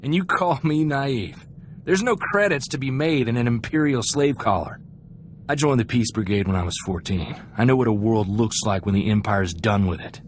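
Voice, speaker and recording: A man's voice: mid-range voice, moderate pace, natural voice. An actor playing an earnest, idealistic young freighter crewman in a video game, talking in a folksy, chatty way. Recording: clear background ambient noise.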